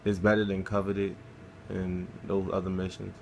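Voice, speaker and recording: Low-voiced dude narrating his gameplay, flat and laid-back, cusses a lot. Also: normal speed, gravelly, a little hiss or hum. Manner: laid-back, swears casually, talks through his play. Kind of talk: casual game walkthrough commentary.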